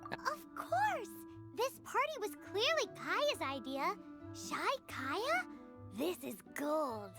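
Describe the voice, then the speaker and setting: A woman's voice: very high voice, wildly swooping pitch, takes it slowly, exaggerated voice. A woman voicing a tiny, childlike, chirpy and nosy fairy companion in an anime game dub. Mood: teasing. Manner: speaks of self in third person, exclaims. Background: playful music.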